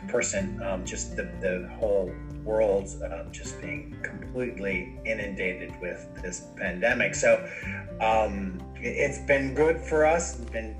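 Man, middle-aged, from ireland, lowish voice, rambling guest chat, clear music underneath. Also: poor audio. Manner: rambling, many fillers and restarts. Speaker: podcast guest. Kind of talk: casual interview chat.

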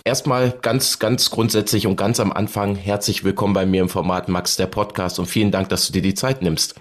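A man's voice: on the low side, steady tone, a little fast. A man hosting a podcast, presenting and interviewing in a casual way.

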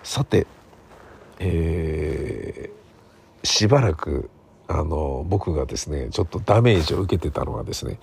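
A man's voice: extremely low pitch, lively intonation, unhurried, gravelly, slightly rough. A middle-aged man hosting a solo podcast in a casual, rambling, conversational way, recorded on a smartphone. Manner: rambling, conversational, seeking agreement with soft sentence endings. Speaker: podcast host and radio drama writer.

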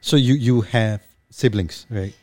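A podcast host who asks hesitant, stumbling questions and keeps up steady backchannel replies. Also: mid-range voice, measured pace. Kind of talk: interview questions.

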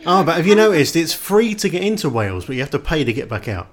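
A man's voice: fairly high pitch, very animated, fast talker, a little hiss or hum. A man casually hosting a chat interview, reading out questions from listeners and bantering with guests.

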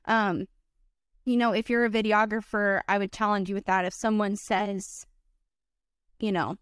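A woman's voice: medium pitch, steady tone, normal speed, clear, resonant tone, no room sound. A woman wedding filmmaker, a podcast guest, talking in an earnest, chatty way full of "like" and "you know". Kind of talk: casual podcast chat.

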